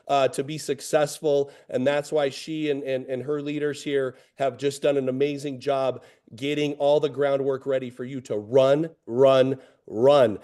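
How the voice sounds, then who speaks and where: Hoarse, fired-up man. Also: fairly high pitch. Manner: excited, repeats phrases, many fillers. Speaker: company executive. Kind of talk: motivational team address.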